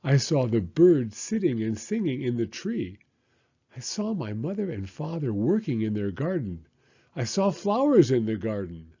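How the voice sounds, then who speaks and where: A man's voice: mid-range voice, sing-song, unhurried, warm tone, husky, dull audio. A man voicing a cheerful, kindly frog telling his friend a childhood memory in an earnest, lilting way. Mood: happy.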